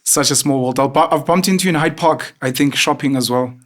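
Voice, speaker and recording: A man's voice: fairly high pitch, fairly quick, a bit bright. A man hosting an interview, talking in an effusive, chatty and admiring way. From South Africa. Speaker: host. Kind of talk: interview conversation.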